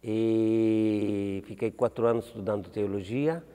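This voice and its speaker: A man in his seventies from Malta, speaking with a Maltese accent, a priest answering interview questions in a halting way, restarting words and asking "entende?". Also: fairly low pitch, steady tone, warm tone, big-room sound. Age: 70s.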